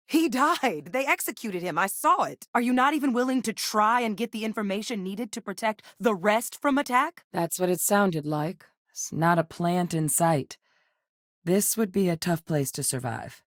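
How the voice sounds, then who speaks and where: An actress playing a composed, earnest, duty-minded woman scientist in a space video game, speaking in a measured, articulate way. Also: fairly low pitch, no echo, studio quality. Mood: shocked, upset, then serious. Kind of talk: scripted game character dialogue.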